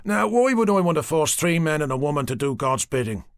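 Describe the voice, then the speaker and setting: A man's voice: fairly high pitch, very animated, fairly quick, dry recording, clean studio sound, pitched above the performer's own voice. A man voicing a manic, zealous priest who preaches fervently and slips into rapture. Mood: sermonizing. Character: manic, zealous middle-aged male priest.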